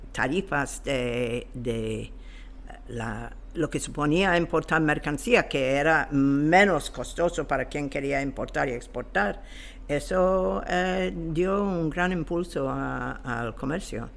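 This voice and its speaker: Man, interview guest, halting delivery, searching for words, gravelly creak, unhurried historical explanation. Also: mid-range voice, a bit bright.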